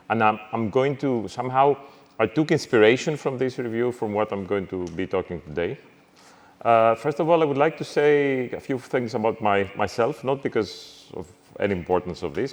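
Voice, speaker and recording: A middle-aged man lectures to students, and his speech halts often with fillers and restarts. His voice sits on the low side. The sound carries the long reverb of a lecture hall. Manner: halting, many fillers and restarts. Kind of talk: university lecture.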